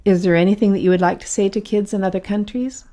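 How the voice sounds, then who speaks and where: An American woman asks short interview questions in a measured, unhurried way. Her voice is mellow and soft-edged, and her pitch sits in the middle. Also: steady tone.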